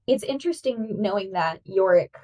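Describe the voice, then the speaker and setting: A woman's voice: mid-range voice, expressive, normal speed, mellow, recorded from a distance, rough recording. A woman co-hosting a podcast in casual, chatty conversation, often saying "like". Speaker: podcast co-host. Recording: voice a bit off-mic.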